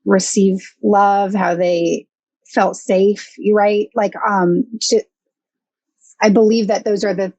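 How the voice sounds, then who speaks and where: Brief: young adult woman, guest counselor, halting and thoughtful, dry mic. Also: mid-range voice, level tone, darkish tone, no room sound, muffled recording. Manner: thoughtful, hesitant with many fillers. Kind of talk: casual podcast interview.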